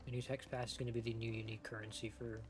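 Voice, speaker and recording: A man's voice: medium pitch, flat delivery, very fast. A man doing casual game playthrough commentary, thinking aloud in an informal, sweary way and reading on-screen text. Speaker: game streamer. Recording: game music behind the voice. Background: video game music.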